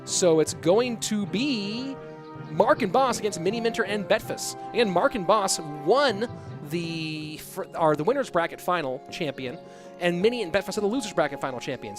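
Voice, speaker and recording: A man casually casting an esports stream, reading viewer chat aloud and answering in a relaxed, rambling way. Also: sits high, moderate pace, music in the background.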